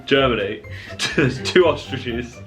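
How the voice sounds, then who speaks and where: A man's voice: mid-range voice, slow pace, fairly bright tone, soft background music. A young man bantering casually as a vlog co-host, with a joking, playfully mock-solemn way of talking.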